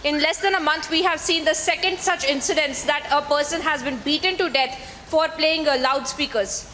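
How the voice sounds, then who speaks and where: A woman reporter with an Indian accent files a live report in wordy, run-on sentences. Her voice is high and bright. The link is reverberant, with some background noise. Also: normal speed. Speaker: news reporter.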